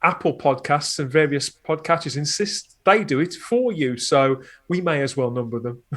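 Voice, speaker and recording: A man's voice: on the high side, lively intonation, measured pace, fairly bright tone. A man guesting on a podcast, talking casually and discursively, often interrupting his own sentences.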